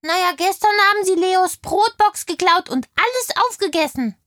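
A woman's voice: very high-pitched, lively intonation, moderate pace, pushed and bright, dry recording, clean studio sound, exaggerated voice. A woman playing a chatty, indignant kindergarten girl in a children's audio play, telling things in childlike everyday words. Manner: childlike, colloquial storytelling.

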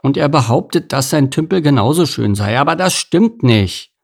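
A bright-eyed little boy salmon from a children's story, high and eager, full of wide-eyed questions, as a man reading aloud puts him on. A gravelly grain shows through the raised pitch. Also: expressive, moderate pace. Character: small naive young male salmon, eager.

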